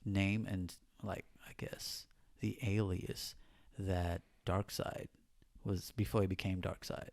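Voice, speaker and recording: A man from Perth with an Australian accent, a podcast guest telling animated stories in slangy, casual talk. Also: low-pitched, takes it slowly, creaky, no room sound. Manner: animated storyteller, slangy and nerdy. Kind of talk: casual storytelling chat.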